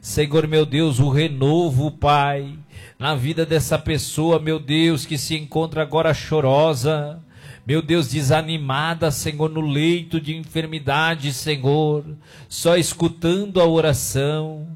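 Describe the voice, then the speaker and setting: Guy praying out loud real slow, keeps saying lord my god, kinda high voice, soft music under it. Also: flat delivery. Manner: slow, repetitive invocations of God between phrases. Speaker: pastor leading prayer on radio and online. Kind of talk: fervent intercessory prayer. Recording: faint music behind the voice.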